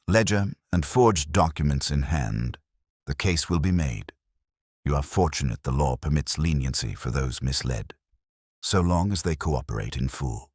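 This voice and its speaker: Guy doing a very deep victorian detective, stern n logical, formal, voice moves around a lot, dry close sound. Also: dry recording. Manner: measured, formal, certain.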